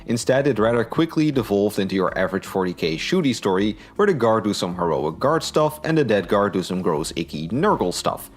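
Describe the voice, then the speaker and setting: Male reviewer, low pitch, very animated intonation, scripted narration, informal and opinionated. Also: fairly quick, few pauses, soft background music. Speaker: book and audio drama reviewer.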